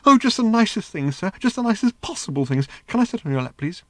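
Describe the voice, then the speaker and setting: A man's voice: sits high, big pitch swings, fast talker, fairly bright tone, no echo, clean studio sound, pitched above the performer's own voice. Man doing a squealing, fawning little robot. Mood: gushing. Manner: squealing, gushing, breathless. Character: giddy little flying security robot, fawning.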